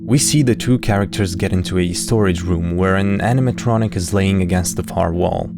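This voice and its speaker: A man's voice: low-pitched, a little fast, gravelly. A man giving scripted video commentary as a host, with casual, wry asides. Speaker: host of a horror series breakdown video. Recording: music behind the voice.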